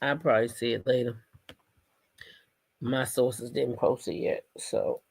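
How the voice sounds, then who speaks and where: A woman's voice: rumbling low, steady tone, a little slow, creaky, a little mellow, husky. An American woman doing casual live gossip commentary as host, sassy and emphatic, often repeating phrases for emphasis.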